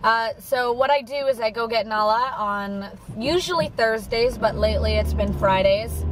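A woman's voice: a little high, normal speed, poor audio. A young woman in her twenties chatting casually to her camera while driving at night, rambling with lots of fillers. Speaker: vlogger. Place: inside a car at night. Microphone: camera on a dashboard mount. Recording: camera mic with road and car noise.

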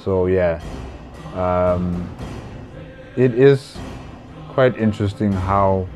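A young adult man giving casual commentary as a reaction video host, musing and hesitant, on a lapel mic in a small room. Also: low-pitched. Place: small plain room indoors.

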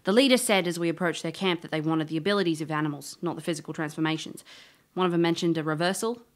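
Casting: actress for bold female magic scholar, lower register, sarcastic, cheeky, unafraid; audio drama lead. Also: fast talker, lightly acted. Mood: wry. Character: sardonic, bold woman, self-taught magic scholar adventurer.

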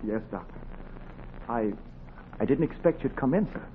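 A man's voice: mid-range voice, expressive, normal speed, lightly acted. An actor playing an earnest, deferential young medical apprentice in a 1940s radio drama, polite and careful in his speech. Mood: meek, apologetic. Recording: tinny old radio, faint noise.

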